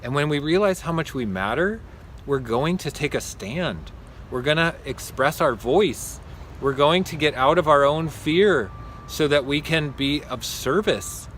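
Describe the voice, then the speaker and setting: A man's voice: fairly high pitch, lively intonation, unhurried, fairly bright tone, slight background noise. A man hosting an interview, speaking in earnest, affirming, short statements. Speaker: host of a raw vegan channel. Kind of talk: casual interview chat.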